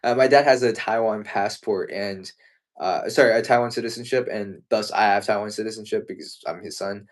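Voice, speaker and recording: A young man from the United States talks martial arts in a relaxed live chat. His voice sits fairly low. He sounds earnest and thoughtful, often starting a sentence twice before it comes out. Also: a little fast. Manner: earnest, thoughtful, with frequent restarts. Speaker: martial arts competitor and co-host. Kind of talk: casual live-stream chat.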